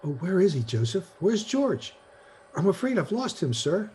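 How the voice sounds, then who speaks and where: An actor playing an earnest, apologetic, bumbling elderly guardian angel in an old-time radio play. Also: male voice, high-pitched, big pitch swings, moderate pace, a little mellow. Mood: flustered, earnest.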